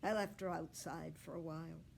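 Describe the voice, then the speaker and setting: A woman's voice: sits low, fairly even intonation, normal speed, gravelly, a little mellow, a little hiss or hum. A woman voicing a cheerful, casual young man in a children's storybook. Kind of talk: children's storybook read-aloud.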